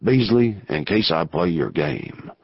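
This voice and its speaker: A man voicing a gruff, scheming male outlaw leader in a slow frontier drawl for an audiobook. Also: deep voice, big pitch swings, no echo, pitched below the performer's own voice, full character voice. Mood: wary drawl. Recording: dull lo-fi audio.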